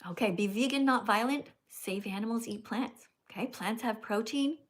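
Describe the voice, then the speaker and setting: High-voiced older woman hosting a livestream. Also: normal speed. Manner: chatty, teacherly, repeats "okay?" often. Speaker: livestream host. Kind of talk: casual livestream chat with callers.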